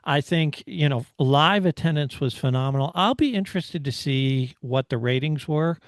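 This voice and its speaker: A man co-hosting a motorsport discussion, talking casually and haltingly as he analyses. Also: on the high side, dry recording, studio quality. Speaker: motorsport podcast host.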